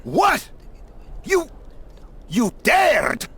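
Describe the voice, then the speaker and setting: An actor voicing a stern, fanatical monastery priest in an adventure game, preachy and imperious. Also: male voice, very high-pitched, wildly swooping pitch, extremely slow, creaky, pushed and bright, breathy edge, heavily acted. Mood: outraged.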